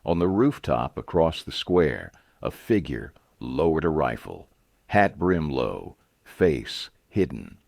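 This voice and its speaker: A man's voice: very deep voice, big pitch swings, slow pace, creaky, a little mellow, no echo, studio quality. A man narrating a scripted Western story dramatically, in short, suspenseful phrases.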